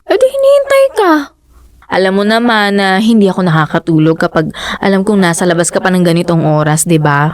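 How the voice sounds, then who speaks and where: A woman's voice: lowish voice, very animated, brisk, no room sound. An actress playing a practical, determined fisherman's wife and mother of four, who argues her point insistently in a radio drama. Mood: worried.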